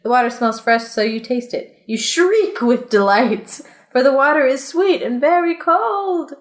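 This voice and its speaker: A woman podcast host reading a storybook aloud in an expressive way, with casual, playful asides. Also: sits high, a little slow, echoey room. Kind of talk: storybook read-aloud mixed with casual chat.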